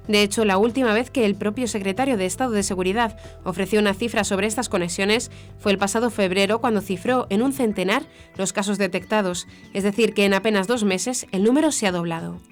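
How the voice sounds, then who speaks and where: A woman reads scripted news in a formal manner. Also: mid-range voice. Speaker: news presenter. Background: background music.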